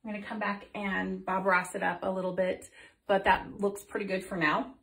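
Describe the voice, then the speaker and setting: A woman's voice: medium pitch, flat delivery, normal speed, gravelly, fairly bright tone. Chatty woman painting in echoey room. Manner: chatty, explains while painting, thinks aloud with ums. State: voice a bit off from recent illness. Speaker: art teacher demonstrating painting. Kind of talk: casual painting tutorial. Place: home art studio room. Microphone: phone camera overhead, speaker off to the side.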